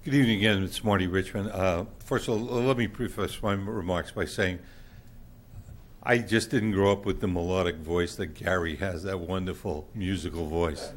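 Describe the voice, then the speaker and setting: Man, loud booming low voice, blunt public commenter at a podium mic. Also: fairly even intonation, normal speed. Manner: blunt, plainspoken, with many fillers. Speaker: public commenter at a council meeting. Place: council chamber.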